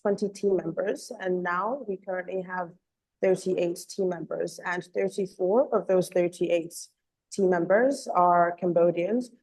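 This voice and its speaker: A woman giving an earnest online presentation as a communications officer, slightly hoarse from a cold, with frequent ums and uhs. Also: fairly low pitch, fairly even intonation, a little slow, a little mellow, poor audio. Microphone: video call.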